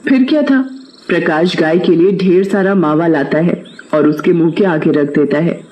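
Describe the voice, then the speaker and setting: A man's voice: fairly high pitch, moderate pace, darkish tone, rough recording. A man reading a scripted children's moral story as its narrator.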